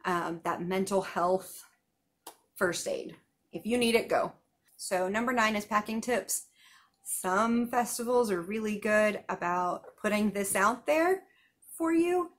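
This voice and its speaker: A woman's voice: mid-range voice, slow. A woman in her thirties giving numbered tips in a casual chat to camera, seated on a couch at home, with small laughs and asides. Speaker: vlogger giving festival tips.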